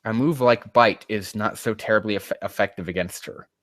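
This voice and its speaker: A man's voice: fairly low pitch, normal speed, heavy background noise. A man reading fan fiction aloud and mocking it casually, rambling and swearing in exasperation, stumbling over the text. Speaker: host reading and critiquing amateur fan fiction.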